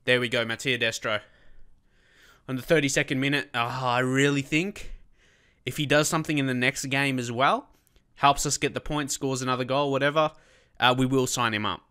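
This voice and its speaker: Let's-play host, man with a head cold, rambling then excited, bright smooth tone. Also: medium pitch, moderate pace. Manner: chatty, many fillers, bursts of excitement at goals. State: blocked nose from a cold. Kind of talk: casual gameplay commentary.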